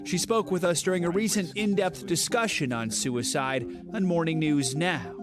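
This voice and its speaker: A man narrating a news feature as correspondent, measured and serious. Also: a little high, over music.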